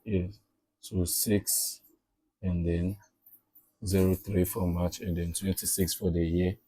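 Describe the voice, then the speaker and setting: A man giving a casual step-by-step software tutorial, often repeating himself and checking in with okay and all right. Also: deep voice, level tone, slow pace, slightly rough, dry recording, low-quality recording. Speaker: tutorial presenter.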